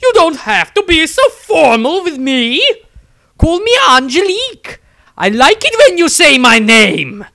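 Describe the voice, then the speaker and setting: Overbearing lovestruck nun: very high, piercing and bright, syllables stretched slowly, fantasy audiobook. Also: male voice, very animated, gravelly, full character voice. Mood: coaxing, lovestruck. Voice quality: shrill. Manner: gushing, drawn-out, overly enthusiastic. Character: burly, besotted, overbearing middle-aged nun with shrill gushing glee.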